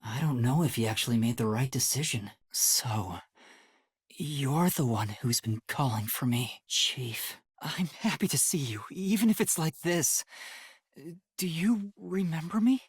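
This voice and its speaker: A man playing an earnest, timid young detective trainee in an English video game, with a soft, boyish, slightly breathy voice and a hesitant, polite delivery. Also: mid-range voice, measured pace, no room sound, studio quality. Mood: earnest, questioning.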